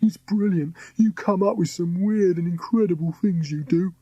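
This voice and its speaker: A man's voice: sits high, normal speed, warm tone, slightly rough, no echo, dull audio, pitched above the performer's own voice. A man voicing a crude, fawning male henchman who sniggers at his captive.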